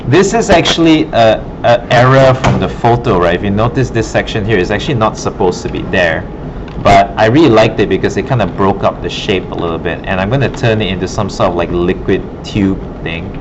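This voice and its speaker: A man's voice: fairly low pitch, fairly quick. A man giving an informal classroom art demonstration, thinking aloud casually as he works. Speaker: digital art instructor. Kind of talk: informal classroom demonstration, narrating while working. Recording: muffled, broken audio with loud room hum.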